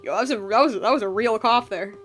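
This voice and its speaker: A woman streaming a video game with casual, slangy, excitable commentary. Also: on the high side, fast talker. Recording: music behind the voice. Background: game music.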